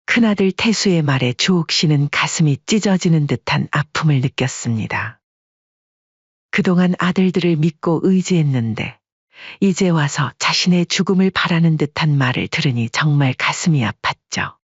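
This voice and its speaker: A man narrating a family drama story in an even, dramatic storytelling manner. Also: fairly high pitch, moderate pace, breathy edge, no room sound. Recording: dull lo-fi dry sound.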